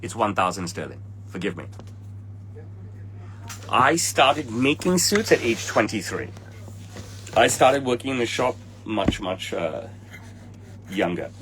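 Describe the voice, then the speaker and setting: Blunt Hong Kong-accented man, low voice. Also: big pitch swings, takes it slowly, long pauses, fairly bright tone, slight background noise, low-quality recording. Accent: Hong Kong English. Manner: blunt, impatient, swears at commenters. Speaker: tailor hosting a livestream. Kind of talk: livestream Q&A with viewers. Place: indoor room with trophies behind him.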